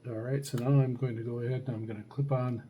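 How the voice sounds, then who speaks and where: A man giving a casual hands-on demonstration, talking haltingly as he thinks aloud while handling gear. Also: medium pitch, fairly even intonation. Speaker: radio hobbyist host. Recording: faint background noise.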